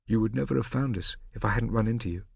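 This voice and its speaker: A man's voice: lowish voice, fast, gravelly, darkish tone, slightly rough, no echo, studio quality, full character voice. A man voicing a proud, protective twelve-year-old boy who speaks earnestly and defensively.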